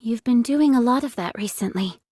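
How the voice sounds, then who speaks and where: An actress playing an earnest young android squad leader in a game drama, strained but determined, speaking firmly and insistently. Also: female voice, on the high side, fairly quick, dark tone, no echo, studio quality, natural voice. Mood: pleading.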